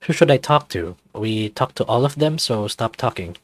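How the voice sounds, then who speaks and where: A man's voice: mid-range voice, animated, normal speed, dry recording. A man narrating a visual novel playthrough as the channel host, reading the text aloud.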